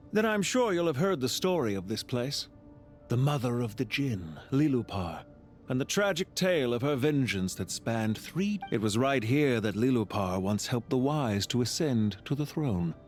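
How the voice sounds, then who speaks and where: A man playing a calm, courteous, scheming villain officer in a video game, speaking in a polite, formal, measured and theatrical way. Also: medium pitch, soft background music. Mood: sly, storytelling. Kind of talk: scripted video game voice acting.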